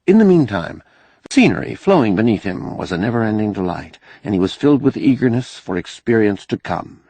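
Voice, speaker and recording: A man's voice: mid-range voice, darkish tone, no echo. A man narrating a novel as an audiobook, reading scripted prose expressively.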